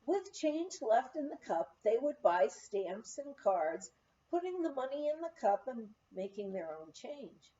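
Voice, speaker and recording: A woman's voice: fairly high pitch, measured pace, lo-fi sound. A woman reading a humorous memoir aloud as an audiobook, telling it expressively like a storyteller. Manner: expressive storytelling, acting out quoted speech. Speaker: audiobook reader.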